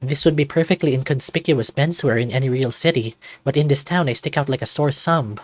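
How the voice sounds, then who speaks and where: A man's voice: mid-range voice, fairly quick, dry recording, faint music behind, natural voice. A man voicing an anxious, self-doubting man in his thirties, narrating a visual novel introspectively in the first person. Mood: uneasy, self-conscious. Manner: introspective, confessional, dramatic reading.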